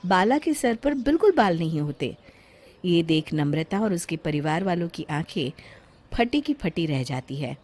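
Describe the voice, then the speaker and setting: A woman narrating a children's cartoon story in a clear, even storytelling manner. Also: lowish voice, a little slow, slight background noise.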